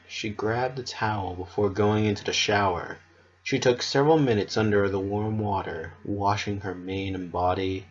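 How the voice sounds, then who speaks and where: A narrator giving an expressive, measured dramatic reading of a scripted story. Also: low-pitched, muffled recording.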